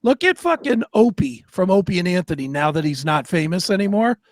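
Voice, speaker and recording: A man's voice: high-pitched, big pitch swings, fairly quick, no echo. A man co-hosting a talk show in a casual, profane way, blunt and opinionated. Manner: blunt, opinionated, swears freely.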